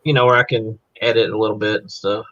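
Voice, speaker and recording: A man's voice: on the low side, flat delivery, fast, a bit bright, no room sound. Male guest, casual, dull close-miked call audio. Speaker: guest artist on the stream. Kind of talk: casual chat. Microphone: cheap computer on a video call.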